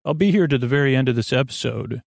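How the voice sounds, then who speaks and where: A man hosting a bedtime podcast in a rambling, halting monologue full of digressions, with a gravelly, creaky voice. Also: mid-range voice, animated, no room sound, studio quality. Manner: meandering, halting, full of digressions and trailing thoughts. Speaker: podcast host.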